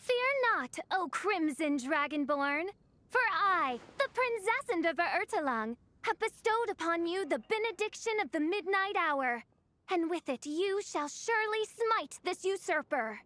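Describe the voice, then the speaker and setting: A woman's voice: very high voice, very animated, a little slow, a bit bright, no room sound, full character voice. A woman voicing a theatrical young self-styled princess who speaks in grandiose, archaic phrases. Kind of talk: game voice acting.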